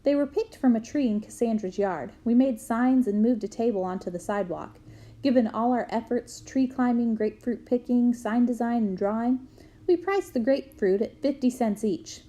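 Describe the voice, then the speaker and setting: A woman reading a memoir aloud to students in a clear storytelling manner. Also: on the high side, moderate pace. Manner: clear storytelling, bursts into loud shouts for quoted yells.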